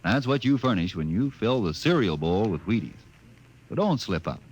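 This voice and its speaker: A man's voice: on the low side, very animated, moderate pace, a little mellow, low-quality recording. A man as a 1950s radio announcer reading a cereal commercial in a chummy, persuasive way. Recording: old radio recording with hiss.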